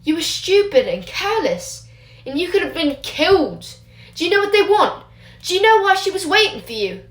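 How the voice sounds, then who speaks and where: A woman doing a gruff scolding old wizard. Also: fairly high pitch, lively intonation, moderate pace, natural voice. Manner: angry, scolding, protective. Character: gruff, stern older male wizard teacher. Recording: roomy echo.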